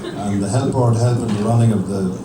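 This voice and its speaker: Irish male event speaker, lowish pitch, informal public address, distant echoey recording over a noisy crowd. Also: steady tone, brisk. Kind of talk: informal public thank-you speech. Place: hotel function hall.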